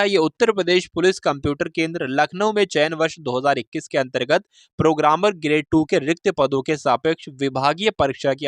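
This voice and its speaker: A man's voice: a little high, dry recording. A man hosting an exam preparation update, explaining informally to viewers, repeating and rephrasing his points and addressing them as friends. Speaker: host of exam preparation channel.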